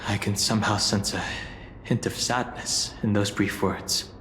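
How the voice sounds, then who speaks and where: A man playing a gentle, sensitive, anxious youth in a video game, soft-spoken and hesitant. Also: lowish voice, fairly even intonation, unhurried, a little hiss or hum. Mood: worried, hesitant. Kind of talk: scripted video game voice acting. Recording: echoey hall reverb, faint music.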